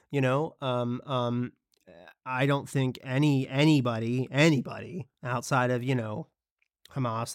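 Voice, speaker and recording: A man's voice: mid-range voice, no room sound, studio quality. A man with a cold and a sniffly voice, co-hosting a podcast in a conversational way with frequent restarts and repeated words. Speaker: podcast co-host. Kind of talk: podcast discussion.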